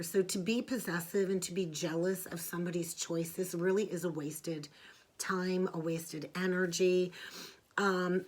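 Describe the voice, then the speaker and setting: A middle-aged woman talks through a weekly tarot forecast in a frank, emphatic monologue. Her voice sits a little low, and she does not hold back her language. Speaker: tarot reader.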